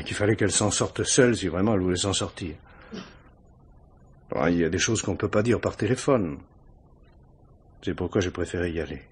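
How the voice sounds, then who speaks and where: Anxious husband, very husky low voice. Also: male voice. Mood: distressed. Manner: hesitant, self-justifying, halting. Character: anxious married man confessing to a police inspector. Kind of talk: 1950s French radio crime drama acting.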